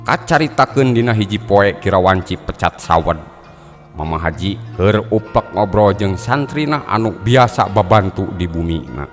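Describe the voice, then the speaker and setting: A man telling a traditional folk tale in a measured, dramatic way, with folk music playing behind. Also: lowish voice, lively intonation. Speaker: storyteller. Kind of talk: traditional storytelling narration.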